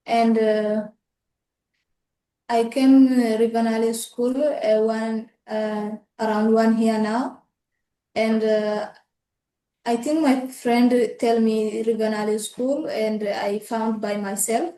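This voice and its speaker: A young woman from Ethiopia, a student guest, speaking hesitantly with many pauses. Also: fairly high pitch, steady tone, dark tone, slight background noise. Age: young adult. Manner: hesitant, halting, many "uh" fillers. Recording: echoey room.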